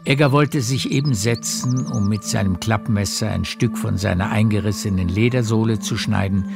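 Low, creaky male storyteller. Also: over music. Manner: measured storytelling. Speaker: narrator. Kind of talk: scripted literary narration for a radio play.

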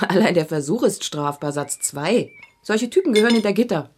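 A woman's voice: lowish voice, lively intonation, normal speed, a little mellow, a little hiss or hum, close to an everyday voice. An actress playing a fed-up journalist girlfriend in a radio drama, speaking bluntly and with sarcasm. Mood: curt, dismissive. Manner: blunt, sarcastic, matter-of-fact. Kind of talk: naturalistic radio drama dialogue.

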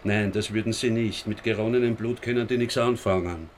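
An actor playing a sardonic, pedantic police inspector in a radio drama, with an Austrian accent and a dry, wordy delivery. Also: male voice, fairly low pitch, fairly even intonation, a little fast, slight background noise, natural voice. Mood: explanatory.

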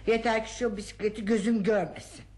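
An actress playing a practical older wife in a radio play, brisk, nagging and fussing. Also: female voice, medium pitch, fairly even intonation, darkish tone, natural voice. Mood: brisk, haggling. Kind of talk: radio theatre acting.

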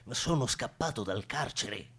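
An actor playing a timid, nervous escaped convict chained to a bed, stammering through every sentence in a radio play. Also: male voice, medium pitch, lively intonation, moderate pace, sharp tone, breathy edge. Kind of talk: radio theatre acting.

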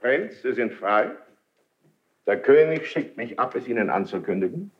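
An actor playing a deferential royal envoy in a classical verse radio drama, speaking formally and ceremoniously. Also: male voice, mid-range voice, very animated, normal speed, highs cut off, music in the background, natural voice.

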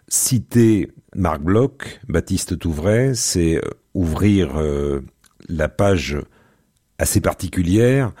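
A man with a very low voice hosts a radio history broadcast. He speaks in a measured, deliberate way, pausing often with fillers as he questions his guest. When he reads a text aloud, he keeps it grave and even. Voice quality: deep, measured, with hesitations. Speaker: radio history show host. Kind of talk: radio interview and reading.

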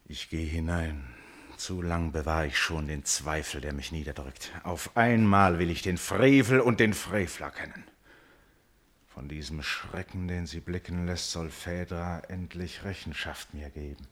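A man playing a proud, wrathful ancient Greek king and betrayed father in a classical verse radio tragedy, declaiming gravely and solemnly. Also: deep voice, measured pace, a bit bright. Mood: suspicious, demanding.

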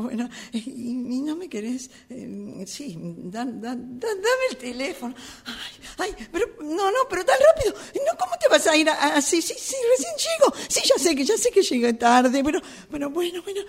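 A woman's voice: high-pitched, sing-song, unhurried, vocal fry. A woman with a Rioplatense Spanish accent improvising a one-sided dialogue as a flustered, apologetic character, stammering and repeating herself nervously. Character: flustered, apologetic woman meeting an old acquaintance. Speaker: radio drama workshop participant.

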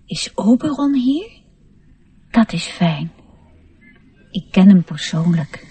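Proud young elf boy, husky. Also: medium pitch, animated, slow, warm tone, no room sound, full character voice. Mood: lofty. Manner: lofty, formal. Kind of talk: Dutch radio play acting.

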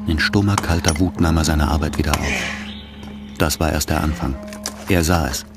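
A man narrates a literary radio drama in scripted prose. His voice is very deep, and loud music plays underneath it. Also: steady tone, moderate pace.

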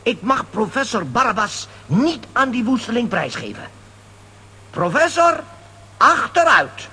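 A man's voice: very high voice, very animated, unhurried, bright, forceful tone, husky, exaggerated voice. A man playing a flustered, vain comic hero who stammers and exclaims in a children's audio drama. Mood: alarmed, blustering.

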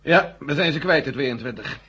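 A man's voice: fairly high pitch, expressive, moderate pace, close to an everyday voice. An actor playing an impatient, dismissive man in charge at mission control, curt and formal, in a 1970 Dutch radio drama. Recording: muffled 1970 radio recording, faint noise.

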